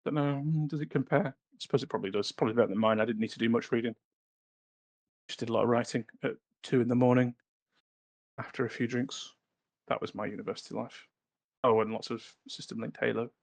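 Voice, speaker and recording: A man co-hosting a casual writing podcast, telling rambling anecdotes with many pauses and fillers. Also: medium pitch, gravelly, darkish tone, no room sound. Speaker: podcast co-host.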